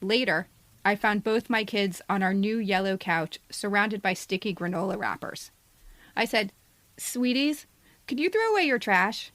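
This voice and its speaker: A woman's voice: mid-range voice, lively intonation, unhurried, fairly bright tone, dry recording. A woman in her fifties reading a scripted personal essay as a podcast co-host, with a candid, wry, self-deprecating manner. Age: 50s.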